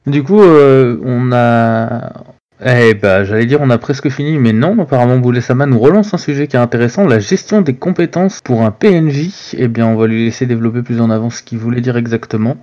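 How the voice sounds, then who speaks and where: A man's voice: mid-range voice, brisk, a little mellow, lo-fi sound. A man hosting a round-table show, casually moderating the discussion and handing the floor to guests.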